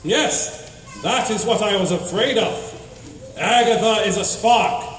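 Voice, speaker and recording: High-pitched pompous hero in echoey hall. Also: male voice, unhurried, a bit bright. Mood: ominous, theatrical. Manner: pompous, theatrical declaiming. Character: grandiose self-styled hero, cheerfully fatalistic male adventurer. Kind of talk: comic radio drama acting. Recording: echoey hall stage, distant, faint noise.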